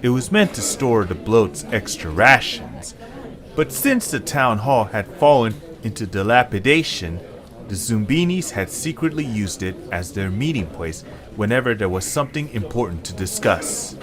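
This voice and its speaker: A man's voice: on the low side, sing-song, normal speed. A man reading scripted narration for a story. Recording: music under the voice. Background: background music.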